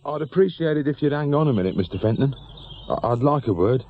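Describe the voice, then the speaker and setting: An actor playing a curt, preoccupied bereaved husband. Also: male voice, mid-range voice, animated, fast talker, narrow, tinny audio, a little hiss or hum, natural voice. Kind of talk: naturalistic radio drama acting.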